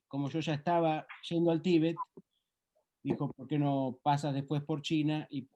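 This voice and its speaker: A man's voice: a little high, dark tone. A man, the author on the panel, answering questions in a plain, matter-of-fact way.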